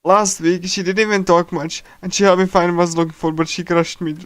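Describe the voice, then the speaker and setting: Male streamer, smooth clean tone, close dry sound, casual commentary and stumbling read-aloud with repeated words. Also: high voice, level tone, a bit bright, no echo. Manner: halting, repeats and stumbles while reading. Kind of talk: casual game livestream commentary and reading aloud. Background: game music.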